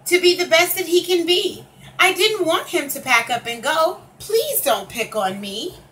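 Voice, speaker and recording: Woman as a high, earnest little meerkat. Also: lively intonation, unhurried, sharp tone, heavily acted. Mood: pleading. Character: kind, encouraging little meerkat, earnest and gentle. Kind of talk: children's picture book read-aloud. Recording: distant microphone, faint background noise.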